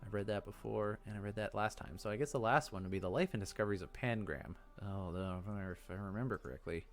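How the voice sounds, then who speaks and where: A man's voice: fairly low pitch, fast talker. A man hosting a let's play, chatting casually and thinking aloud as he goes. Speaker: gaming video host.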